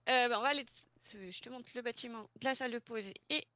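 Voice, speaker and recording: A woman's voice: a little high, fast, sharp tone, no room sound, muffled recording. A woman informally giving instructions and showing someone around during an improvised online roleplay. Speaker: player acting a garage employee training a newcomer.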